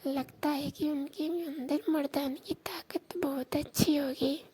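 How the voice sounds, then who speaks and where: A woman telling an intimate confessional story in a hushed, breathy and halting way. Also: high voice, fairly even intonation. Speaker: storyteller in a staged call.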